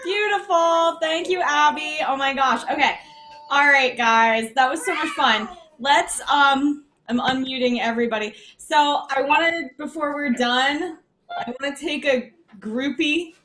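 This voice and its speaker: A woman's voice: high-pitched, unhurried, bright, forceful tone, low-quality recording. A young woman, bubbly and effusive, chatting casually as she hosts an online music gathering from a room at home over a laptop. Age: young adult. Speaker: music teacher hosting an online open mic. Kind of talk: casual chat on a video call. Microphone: laptop on a video call.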